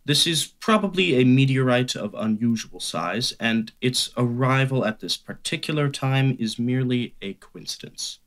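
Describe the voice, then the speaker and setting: A man playing a learned, calmly dismissive astronomy professor in an old-time radio drama, with a formal, precise manner. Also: mid-range voice, moderate pace, few pauses, close to an everyday voice.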